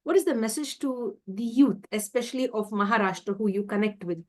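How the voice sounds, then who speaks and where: A young woman hosts an interview over a video call. She asks her questions politely and encouragingly, in an ordinary mid-pitched voice. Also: a little fast. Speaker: interview host.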